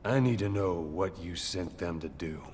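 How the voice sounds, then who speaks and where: A man's voice: low-pitched, normal speed, a little mellow, lightly acted. An actor playing a cool, probing male interrogator in a video game. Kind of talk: dramatic game dialogue. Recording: long reverb, faint noise.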